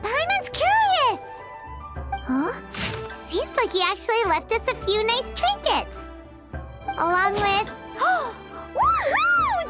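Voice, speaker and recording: A woman voicing a tiny, excitable fairy companion in a video game, bouncy and exclamatory, over loud game music. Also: exaggerated voice. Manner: high-pitched, bouncy, exclamatory.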